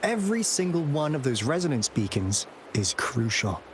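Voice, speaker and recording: A man's voice: medium pitch, big pitch swings, moderate pace, slight background noise, natural voice. A man voicing a playful, theatrical young investigator in a video game, teasing and telling stories dramatically. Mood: explanatory. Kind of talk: video game character dialogue.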